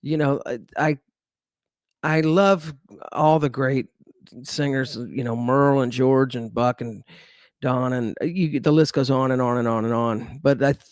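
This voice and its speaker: A man's voice: mid-range voice, steady tone, a little slow, no echo, clean studio sound. A middle-aged man from Texas with a Texan accent, a guest musician chatting easily in an interview, rambling with fillers and restarts. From Dallas, Texas. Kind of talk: casual interview chat.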